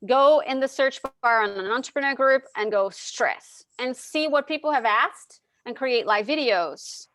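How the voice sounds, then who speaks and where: A woman's voice: high-pitched, measured pace, few pauses. Chatty advice-giving woman in her thirties. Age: 30s. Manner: animated, chatty advice-giving with many fillers. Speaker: guest, dietitian and hypnotherapist. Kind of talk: casual interview chat.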